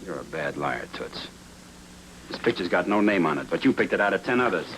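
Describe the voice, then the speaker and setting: Deep-voiced, smug noir gangster. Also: male voice, expressive, brisk, fairly bright tone, slight background noise, poor audio. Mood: coaxing menace. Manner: taunting, wry, controlled. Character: smug, menacing male gang boss, mockingly amused. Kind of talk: 1940s film noir acting. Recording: old film soundtrack with music underneath.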